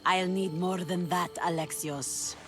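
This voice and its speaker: A woman's voice: on the low side, fairly even intonation, slow, faint music behind. Xenia, commanding pirate queen. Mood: wary, amused. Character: Xenia, commanding, shrewd female pirate leader. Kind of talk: dramatic video game cutscene acting.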